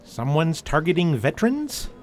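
A man's voice: a little high, very animated, normal speed, a little hiss or hum. A man playing a wry, hesitant ex-rebel war veteran in a scripted radio drama. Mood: uneasy.

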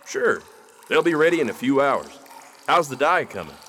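An actor playing a casual, obliging forger among outlaws in a western radio drama. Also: male voice, mid-range voice, very animated, moderate pace, fairly bright tone, a little hiss or hum. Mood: easygoing. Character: agreeable, businesslike male outlaw forger in western.

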